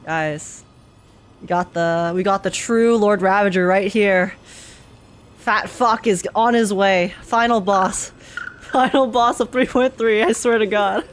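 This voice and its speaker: A woman's voice: medium pitch, slow, slight background noise. A woman streaming a game with casual, slangy, joking live commentary and the odd swear word. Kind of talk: casual live commentary, reading dialogue aloud. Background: game music.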